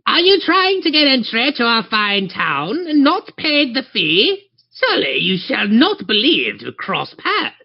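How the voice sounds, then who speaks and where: Woman acting a pompous, high-pitched town guard. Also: huge pitch swings, a little slow, highs cut off, exaggerated voice. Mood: challenging. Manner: officious, mock-archaic formal speech. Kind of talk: acting a character in a tabletop game.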